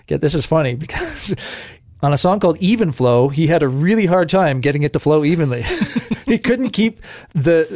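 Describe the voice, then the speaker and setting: A man's voice: fairly high pitch, a little mellow, dry recording, clean studio sound. Canadian man swapping music trivia. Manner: laughs often, shares trivia. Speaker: podcast co-host. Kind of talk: casual music chat.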